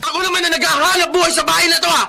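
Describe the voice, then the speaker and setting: A man's voice: very high-pitched, fairly even intonation, racing, vocal fry, a crowd in the background. A man playing an arrogant, womanizing husband in a melodramatic radio drama, boastful and domineering. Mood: angry, defiant.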